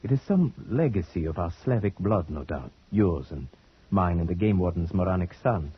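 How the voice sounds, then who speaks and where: An actor playing a suave, courtly Slavic gentleman in formal, deliberate old-time radio drama style. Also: low voice, animated, breathy edge, heavily acted. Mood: earnest, musing. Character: suave Slavic gentleman, guest and brooding narrator. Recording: dull old radio recording, faint noise.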